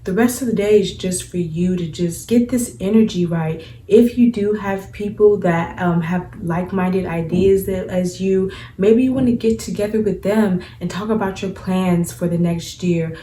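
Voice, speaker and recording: A woman's voice: lowish voice, normal speed, hardly any pauses, warm tone, reverberant, far from the mic, slight background noise, low-quality recording. A woman in her twenties giving earnest, conversational advice in a casual vlog, with frequent fillers. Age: 20s. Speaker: spiritual vlogger.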